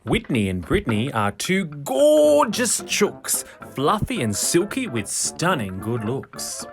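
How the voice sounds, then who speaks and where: A man reading a children's picture book in verse, with expressive, dramatic, rhythmic narration. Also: fairly high pitch, slow, creaky, music in the background.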